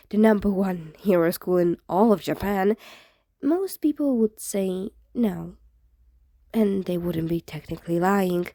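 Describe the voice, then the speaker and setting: A woman's voice: medium pitch, dark tone, no echo, studio quality. A woman reading fan fiction aloud as scripted narration, in the style of an audiobook. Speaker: fan fiction podfic reader.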